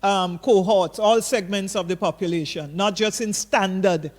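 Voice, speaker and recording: A man with a notably high voice moderates an online panel over a video call. He reads out questions hesitantly and keeps the speakers to time. His voice carries a long reverb from the room. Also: steady tone, normal speed. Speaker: forum moderator.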